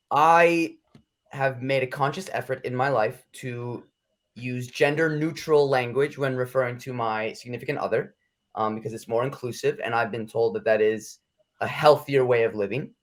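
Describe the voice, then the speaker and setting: A man answering interview questions in casual chat, rambling and self-conscious, hedging with plenty of fillers. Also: medium pitch. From United States. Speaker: interview guest.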